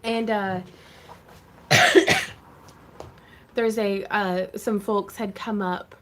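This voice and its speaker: A woman's voice: medium pitch, fairly even intonation, drawn-out words, a bit bright. A woman hosting a craft livestream, chatting casually and laughing often as she greets viewers. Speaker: craft channel host.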